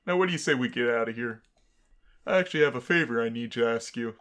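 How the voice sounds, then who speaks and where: A man's voice: medium pitch, fast talker, fairly bright tone, clean tone, natural voice. Guy doing a chill jokey skeleton from a dating game, casual and wisecracking. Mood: joking. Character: laid-back joking male skeleton, dating-game companion.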